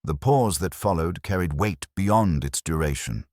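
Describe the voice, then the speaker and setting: A man narrating a scripted mystery story in a measured, formal storytelling manner. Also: sits low, animated, darkish tone, no room sound, studio quality.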